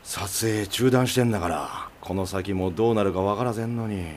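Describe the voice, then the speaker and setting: An actor playing an exasperated younger brother in a family business, blunt and nagging, with a Mikawa Aichi dialect, in a radio drama. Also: male voice, fairly low pitch, moderate pace, slight background noise, lightly acted. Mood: blunt, grumbling.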